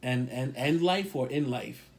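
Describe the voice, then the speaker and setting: A man talking in a loose, rambling way. He hammers his points by saying them twice and leans hard on key words. His pitch sits in an ordinary middle range. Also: unhurried, noisy background. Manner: rambling, emphatic, repeats himself for stress. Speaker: podcast co-host. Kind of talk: casual opinion talk.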